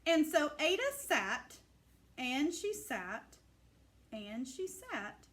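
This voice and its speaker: A woman reads a rhyming story for children in a high voice that swings widely in pitch. She takes her time, phrasing each line with clear emphasis and expression. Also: bright, forceful tone. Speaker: storybook reader. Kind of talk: animated read-aloud of a rhyming children's picture book.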